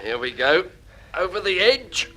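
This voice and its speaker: A man's voice: high voice, big pitch swings, a little slow, pushed and bright, a little hiss or hum, full character voice, poor audio. An actor playing a nervous, chatty spaceship crewman in a 1950s radio drama, anxious and exclamatory. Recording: vintage radio serial with music behind.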